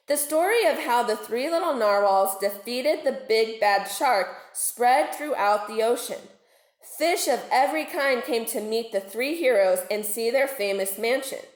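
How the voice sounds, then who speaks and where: A woman reading a children's storybook aloud like a teacher, deliberate and expressive with dramatic emphasis. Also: on the high side. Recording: roomy, echoing home recording.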